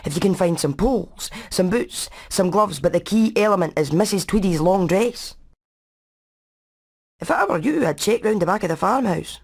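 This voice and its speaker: Game casting: Scottish female hen engineer, medium pitch, clever, matter-of-fact, precise about technical plans. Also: animated, normal speed, a little mellow. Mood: practical. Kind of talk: animated video game acting.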